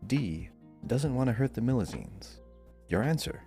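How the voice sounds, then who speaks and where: A man's voice: medium pitch, expressive, measured pace, mellow, faint music behind. A man hosting a podcast quiz, reading out questions and chatting casually.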